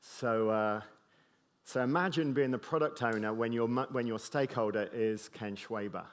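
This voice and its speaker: A man's voice: medium pitch, moderate pace. A middle-aged British man with a slight mid-Atlantic tinge gives a conference keynote to a live audience. He jokes loosely and fills his talk with fillers and restarts. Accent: British English with a slight mid-Atlantic tinge. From Britain.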